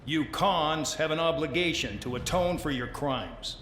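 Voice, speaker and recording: A man's voice: fairly high pitch, measured pace, fairly bright tone. A man playing a condescending, commanding officer who lectures convict pilots in a scripted game cutscene. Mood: scornful, commanding. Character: gruff, scornful male officer lecturing convict pilots at military base. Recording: echoey address with faint background noise.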